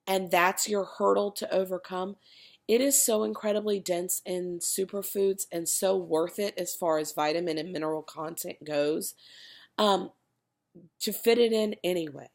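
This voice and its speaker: Woman, casual fitness coach, hoarse and gravelly, chatty with fillers, low-ish voice. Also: fairly even intonation, moderate pace. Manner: chatty, many fillers and restarts. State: losing her voice, cracking. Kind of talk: casual video talk to a group.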